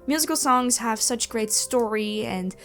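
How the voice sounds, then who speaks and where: A young woman chatting to viewers in a question-and-answer video, with animated, expressive emphasis and drawn-out words. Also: a little high. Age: young adult. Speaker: ASMR audio roleplay creator and voice actor answering questions. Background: background music.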